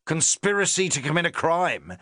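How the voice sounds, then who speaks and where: A man's voice: a little high, sing-song, normal speed, bright, forceful tone, no echo, studio quality, voice pushed up. A man putting on a pompous lecturing police inspector. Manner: pompous, hectoring, jabbing phrases. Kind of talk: audiobook character reading.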